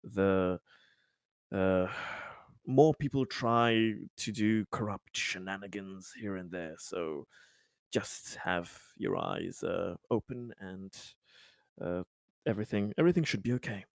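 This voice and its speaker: A man improvising in-character talk at a tabletop roleplaying game, speaking in a halting, hesitant way. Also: deep voice, creaky, dry recording, clean studio sound. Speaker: tabletop role-playing player.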